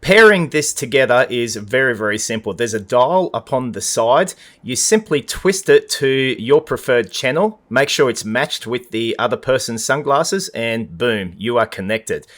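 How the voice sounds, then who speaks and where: A man's voice: medium pitch, lively intonation, unhurried, few pauses, a bit bright. A man giving a conversational gadget review, explaining things in an informal, upbeat and colloquial way. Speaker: product reviewer.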